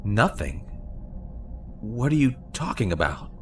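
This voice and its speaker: Male actor as defensive young slacker dude, audio drama: slangy, drawling pace, very animated pitch. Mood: bewildered, defensive. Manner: slangy, incredulous drawn-out delivery. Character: bewildered, defensive young slacker guy, twenty-two. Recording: faint background noise.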